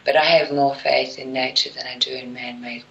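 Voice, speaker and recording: A woman with a remarkably deep, gravelly voice, talking as a guest on an internet radio show. She stresses her points firmly and then lets her voice fade almost to a whisper. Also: fairly even intonation, fairly quick, a bit bright, lo-fi sound. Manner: emphatic, trails off into near whispers. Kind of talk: casual internet radio chat.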